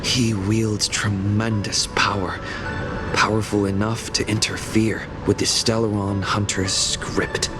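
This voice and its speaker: An actor playing a calm young hero, narrating a scripted story recap in a measured, earnest way. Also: male voice, lowish voice. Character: calm young male hero recounting his adventure. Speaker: protagonist narrator. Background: background music.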